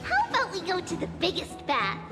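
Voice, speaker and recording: A woman voicing a small, cheerful demigod girl in a video game, with a light childlike voice and an unhurried manner. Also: extremely high pitch, wildly swooping pitch, over music, full character voice. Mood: bright, gentle. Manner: unhurried, speaks of herself as "we".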